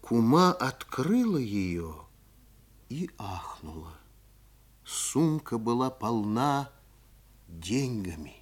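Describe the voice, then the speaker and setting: A man narrating a children's audio play with hushed, dramatic storytelling. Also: mid-range voice, very animated, drawn-out words, long pauses, husky, full character voice.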